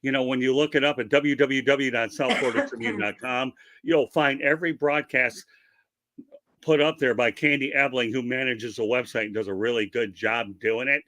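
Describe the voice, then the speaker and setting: A man's voice: medium pitch, steady tone, moderate pace, sharp tone, no echo. A man hosting a casual interview, talking at length in a chatty, rambling, promotional way over a video call. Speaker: interview host.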